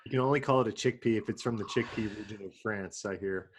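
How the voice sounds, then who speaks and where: A man on a podcast making casual, deadpan jokes as a co-host. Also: on the low side, fast, a little hiss or hum. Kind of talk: casual banter.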